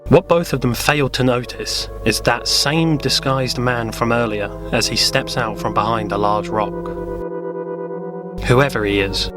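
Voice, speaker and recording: A man's voice: medium pitch, steady tone, unhurried, over music. A man narrating a scripted audio drama parody as its storyteller.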